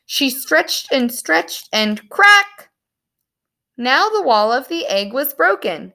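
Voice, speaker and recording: A woman reading a story aloud to young children in an animated, expressive storytelling manner. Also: high-pitched, takes it slowly, creaky. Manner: animated, explains words to young listeners.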